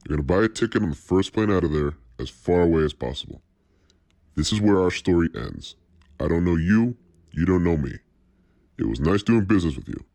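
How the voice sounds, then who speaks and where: A man's voice: low-pitched, expressive, brisk, a little mellow, no room sound. A man playing a cold, menacing crime boss in an audio drama, commanding and threatening. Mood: calm, businesslike. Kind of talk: dramatic audio scenario acting.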